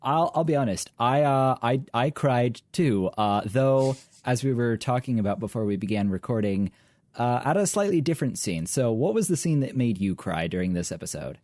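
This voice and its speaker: A man's voice: mid-range voice, lively intonation, normal speed, a little mellow, no echo. A young man co-hosting a podcast, talking in a casual, articulate way with a dry wit. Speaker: podcast co-host. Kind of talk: casual review chat.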